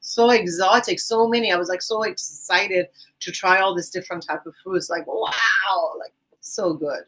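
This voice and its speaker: A woman's voice: medium pitch, normal speed, few pauses, fairly bright tone, husky, poor audio. A woman from Iran with a Persian accent, a guest on a podcast interview, talking enthusiastically about volunteer teaching, with a rambling, restarting manner.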